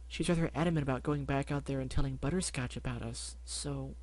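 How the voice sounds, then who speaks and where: A man voicing a timid, shy yellow pony mare who speaks softly and hesitantly. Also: a bass voice. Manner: soft, hesitant, halting.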